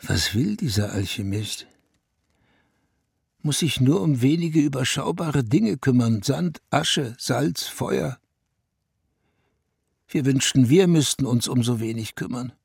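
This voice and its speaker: An actor playing a haughty, world-weary ruler in a German radio play, pondering loftily in clipped phrases with a husky, gravelly voice. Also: male voice, medium pitch, animated, slow pace, pauses often, darkish tone, no echo, clean studio sound, heavily acted. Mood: envious brooding. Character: haughty, world-weary male Prussian ruler, speaking as royal we.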